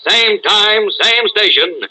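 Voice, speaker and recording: A man's voice: sits high, lively intonation, slow pace, pushed and bright, rough recording. An American man narrating a 1940s radio serial in an emphatic, suspenseful, theatrical style. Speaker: narrator and announcer.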